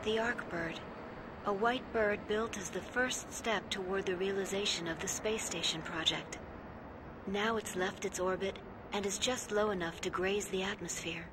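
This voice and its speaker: An actress playing a reflective military pilot, narrating a video game war story in a somber, measured way. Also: female voice, mid-range voice, steady tone, background noise, close to an everyday voice.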